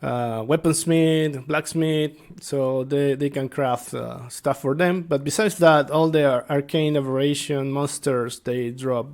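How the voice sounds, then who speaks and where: Slow, halting man with a creaky voice. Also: mid-range voice, fairly even intonation, a little mellow. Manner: halting, searching for words. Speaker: tabletop game master and video host. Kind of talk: casual unscripted explainer vlog.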